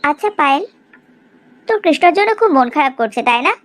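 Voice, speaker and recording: A woman's voice: sits high, lively intonation, fast talker, a bit bright. A woman playing a blunt, disdainful young woman and loyal friend in a cartoon drama, with brash, emphatic delivery. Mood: disdainful, protective. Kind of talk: Bengali cartoon drama acting.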